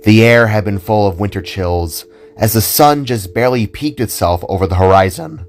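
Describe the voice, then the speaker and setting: A man's voice: lowish voice, normal speed. A man narrating a scripted audio drama as a dramatic storyteller. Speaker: narrator and writer. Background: music.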